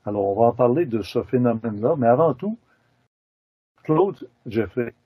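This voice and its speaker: Husky host, dull dry audio. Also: male voice, medium pitch, expressive, moderate pace, no room sound. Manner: chatty, leading questions, friendly asides. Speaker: show host, interviewer. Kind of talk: casual interview.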